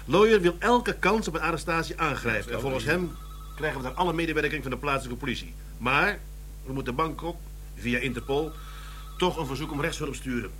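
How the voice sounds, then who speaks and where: A businesslike police officer briefing colleagues in radio drama style. His voice is a bit high, with faint noise behind it. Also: lively intonation, brisk, fairly bright tone. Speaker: actor in a radio crime drama. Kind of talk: Dutch radio drama dialogue.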